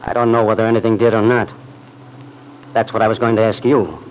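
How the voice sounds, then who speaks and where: An actor playing a jealous, irritable young husband with a curt, needling manner in an old-time radio soap opera. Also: male voice, fairly low pitch, level tone, a little fast, lightly acted. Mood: curt, sullen. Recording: noisy vintage radio recording.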